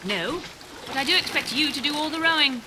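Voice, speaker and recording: An actress playing a prim, cool and witty British woman scientist in a video game, speaking in a crisp, formal, arch way. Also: sits high, lively intonation, fairly quick, background noise. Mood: dry, contrary. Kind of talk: scripted video game dialogue. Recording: game audio with loud music behind.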